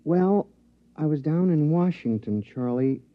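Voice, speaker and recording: An actor playing a small, plucky talking mouse in a 1950s radio drama, on a muffled old recording. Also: male voice, fairly high pitch, expressive, a little slow, no echo. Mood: weary, confiding.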